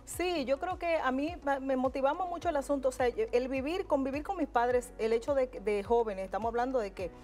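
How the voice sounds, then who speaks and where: A middle-aged Dominican woman, a urologist, chatting warmly as a guest in a TV studio interview. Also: high-pitched, fairly quick, few pauses, faint music behind. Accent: Dominican Spanish. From Dominican Republic. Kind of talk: casual interview chat. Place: TV studio set. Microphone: lapel mic.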